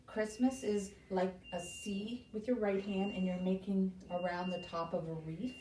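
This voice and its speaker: A woman hosts a story time for young children at an unhurried pace. She speaks in simple, encouraging instructions and questions. Her voice comes from a little way off the microphone in an echoey room. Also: medium pitch, steady tone, background noise. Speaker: library story time host. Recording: roomy echo, distant microphone.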